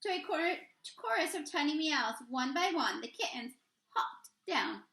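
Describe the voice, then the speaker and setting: A woman reading a children's picture book aloud expressively, with deliberate, dramatic emphasis on key words. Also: high-pitched, lively intonation, creaky, a bit bright, reverberant. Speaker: read-aloud storyteller, teacher reading to children.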